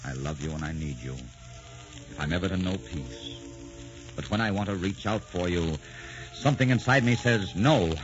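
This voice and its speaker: Male lead, acted: tortured romantic poet, deep voice, anguished delivery, old radio drama with music under muffled sound. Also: close to an everyday voice. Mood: tormented. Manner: anguished, melodramatic, halting. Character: tormented, brooding poet, a man in love. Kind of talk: 1940s radio acting.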